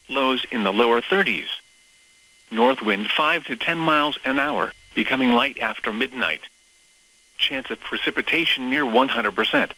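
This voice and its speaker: A man's voice: medium pitch, sharp tone, low-quality recording. A synthetic American male voice reads a formal scripted weather forecast as an automated radio announcer, impersonal and clipped, phrase by phrase. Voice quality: synthetic text-to-speech voice. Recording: weather radio broadcast sound.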